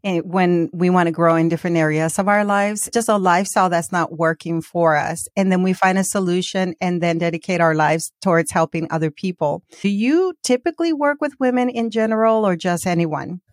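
Low-voiced woman podcast host, dry sound. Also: no room sound. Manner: measured, scripted intro then conversational questions. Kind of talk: podcast interview.

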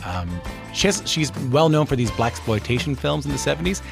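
A man hosting a podcast, reading a relaxed, conversational outro. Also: mid-range voice, fairly quick, creaky. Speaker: podcast host. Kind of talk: scripted outro narration. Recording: guitar music underneath.

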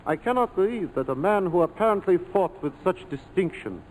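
A smooth police lieutenant of an old radio play, his fairly high voice swooping with courtly politeness. He probes and insinuates in formal phrases. The broadcast has a light layer of noise. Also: expressive, fairly quick, a little mellow. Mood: courtly, polite. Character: suave, probing police lieutenant in colonial Saigon. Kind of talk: 1940s radio acting. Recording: noisy 1940s radio broadcast.

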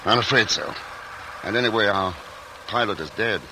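An actor playing a composed male traveler who narrates his journey in a 1940s radio drama, measured and reflective. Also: on the low side, animated, background noise. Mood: probing. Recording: old radio broadcast with music.

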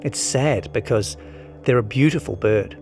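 A man's voice: medium pitch, measured pace, music in the background. A man narrates a scripted long-form article, reading out both the reporting and the quotations.

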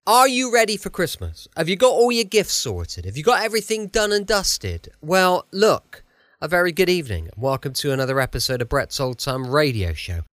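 A British-accented man introduces his podcast in a chatty style. His voice sits slightly high and moves in lively swings. The recording is close and dry. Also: brisk, no echo. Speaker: podcast host.

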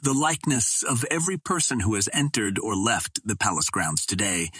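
A man narrating an adventure novel as a scripted audiobook, reading in a deliberate, dramatic manner. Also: lowish voice, sing-song, bright, forceful tone, breathy edge, no echo, clean studio sound.

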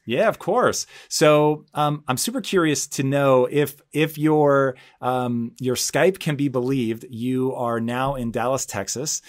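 A man's voice: medium pitch, takes it slowly, hardly any pauses. Inquisitive male interview host. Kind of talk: conversational interviewing.

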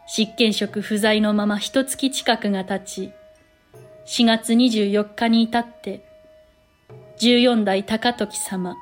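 A woman's voice: medium pitch, close to an everyday voice. A woman voicing a courteous noblewoman who narrates a historical audio drama in polite, formal storytelling.

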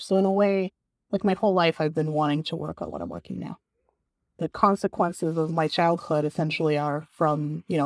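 A woman's voice: low-pitched, a little mellow, no room sound. Earnest woman from Bulgaria, dry sound. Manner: earnest, many fillers like "like". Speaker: guest. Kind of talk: casual interview talk. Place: San Francisco.

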